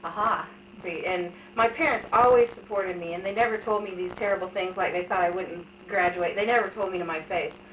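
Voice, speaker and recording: A woman's voice: fairly low pitch, fairly quick, a bit bright. A woman in her thirties chats openly and laughs at herself while she tells family stories. Her voice sounds a little distant and bounces around an echoey room. A faint hiss sits underneath. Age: 30s. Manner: chatty, candid storytelling with self-deprecating humor. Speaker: interviewee. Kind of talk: casual family interview.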